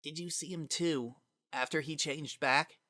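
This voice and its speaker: An earnest young boy acted by a woman, pitched a little low and recorded very dry. He is kind and anxious, speaking in plain, halting phrases within a dramatized story. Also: steady tone, pushed and bright, no room sound. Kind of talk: dramatic reading of fan fiction.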